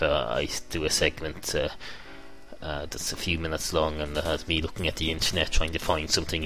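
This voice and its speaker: A man's voice: very deep voice, fairly bright tone, poor audio. A man hosting a podcast, talking through a rambling comic monologue with a sarcastic tone, many ums and restarts, and the odd swear word. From Ireland. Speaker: podcast host.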